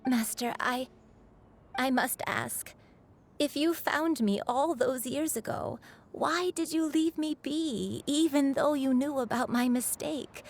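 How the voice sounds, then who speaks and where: An earnest high-voiced disciple. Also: female voice, slow pace. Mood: pleading. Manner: earnest, pleading questions. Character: young woman disciple, earnest and anxious. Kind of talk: video game voice acting.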